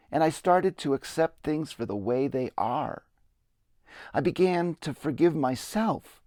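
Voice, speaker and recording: A man's voice: a little high, darkish tone, no echo, clean studio sound. A man giving a reflective, earnest dramatic reading of his own memoir. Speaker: memoirist narrator. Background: music.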